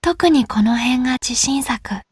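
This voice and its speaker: A woman playing an unworldly, childlike high school girl in a visual novel, speaking softly in hesitant, halting phrases. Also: lively intonation, husky, no echo, studio quality, full character voice. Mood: softly earnest. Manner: hesitant, soft-spoken, short halting phrases.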